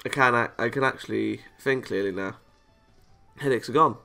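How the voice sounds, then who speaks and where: A man's voice: mid-range voice, normal speed, gravelly, pushed and bright, faint music behind. A man reading a visual novel aloud as a let's player, stumbling over lines and reacting playfully with casual asides. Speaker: video game let's player.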